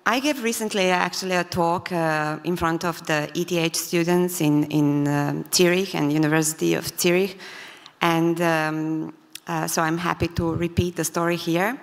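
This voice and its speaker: A woman from Serbia with a Serbian accent gives an unscripted personal talk, hesitating often with pauses and fillers. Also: on the low side. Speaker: conference speaker, entrepreneur. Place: large indoor conference hall. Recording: reverberant conference hall.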